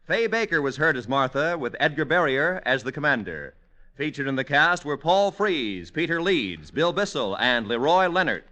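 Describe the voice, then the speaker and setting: A man's voice: a little high, very animated, normal speed, pushed and bright. A man reading scripted announcements in a 1950s network radio announcer style. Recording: vintage radio broadcast. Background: music.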